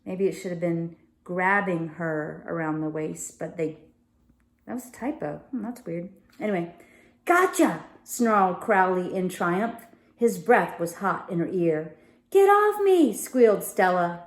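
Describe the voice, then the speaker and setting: A woman reading a story aloud like a teacher, expressively, with casual asides and self-corrections. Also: fairly low pitch. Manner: expressive, pausing to correct and comment. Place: home sunroom. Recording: roomy indoor echo.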